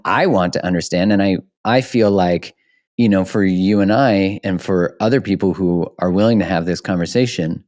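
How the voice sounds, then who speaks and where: A man's voice: sits low, mellow. A man hosting a podcast interview, speaking in an earnest, reflective way and searching for words. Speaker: podcast host. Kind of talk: interview conversation.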